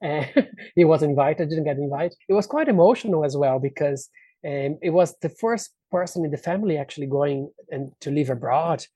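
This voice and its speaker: A Brazilian man, a native Portuguese speaker, with a mellow and slightly high voice. He tells personal anecdotes in a casual interview style, often acting out remarks. The sound is close and dry. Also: normal speed, husky, no room sound. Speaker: interview guest, actor.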